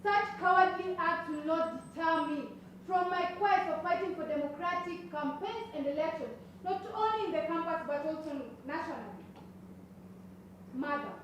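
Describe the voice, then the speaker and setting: Woman acting high-voiced Kenyan student activist daughter, echoey stage. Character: defiant young student activist daughter, idealistic and bold. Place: stage, distant microphone. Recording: echoey stage recording from far off, faint noise.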